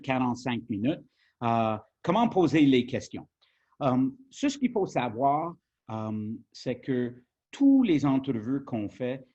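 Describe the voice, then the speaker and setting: A man's voice: medium pitch, darkish tone, husky, highs cut off. A man from Quebec whose first language is English, giving a journalism training webinar in French with an English-speaking accent and many hesitations. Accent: English-speaking Canadian accent in French. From Quebec, Canada. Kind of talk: webinar presentation.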